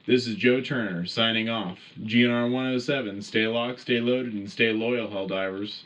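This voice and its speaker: A man's voice: on the low side, steady tone, normal speed, fairly bright tone, natural voice, low-quality recording. A man playing a patriotic wartime radio news anchor, hosting a scripted military broadcast with formal announcer delivery. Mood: solemn, rousing. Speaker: radio show host.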